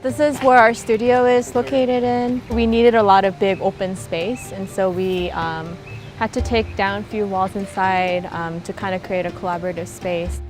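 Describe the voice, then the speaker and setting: A woman's voice: mid-range voice, steady tone, moderate pace. A woman talking casually about her work, chatty and enthusiastic, with filler words. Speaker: visual strategist. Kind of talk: casual interview talk. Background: music.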